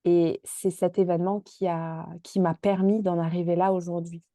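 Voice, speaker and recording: Hesitant young woman, low voice. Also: level tone, moderate pace, dark tone, no room sound, studio quality. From Marseille, France. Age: 20s. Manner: hesitant, many fillers and restarts. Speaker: podcast guest. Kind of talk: casual interview chat.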